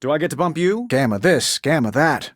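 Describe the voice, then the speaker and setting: A wisecracking superhero inventor, played by a male actor, speaks in a fairly high, very animated voice. He is self-assured and sardonic, tossing off conversational game banter in a close, dry sound. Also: brisk, no room sound, lightly acted. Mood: smug, playful. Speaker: video game character voice. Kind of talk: scripted game voice lines, quippy banter.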